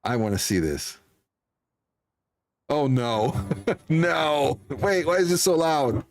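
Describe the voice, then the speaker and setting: A man's voice: on the high side, lively intonation, slow. A man chatting casually as a livestreamer, in an amused way, often repeating himself. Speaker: livestreamer playing a game.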